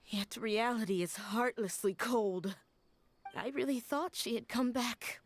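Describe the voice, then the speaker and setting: A woman's voice: on the high side, measured pace. An actress voicing a young woman in a video game who is earnest and hurt. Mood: grieving. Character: young woman grieving a lost teacher.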